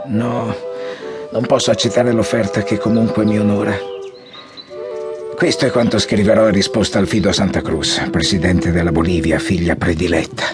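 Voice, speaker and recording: An actor in an audiobook drama playing an ailing, proud old general, commanding and grandiloquent in manner. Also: male voice, lowish voice, steady tone, moderate pace, natural voice. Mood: moved, weary. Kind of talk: audiobook radio drama acting. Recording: music behind the voice.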